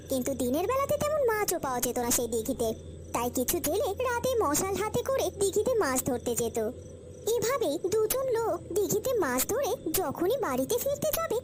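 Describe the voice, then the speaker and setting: A woman narrator telling a cartoon story in a dramatic, scripted storytelling style. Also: very high voice.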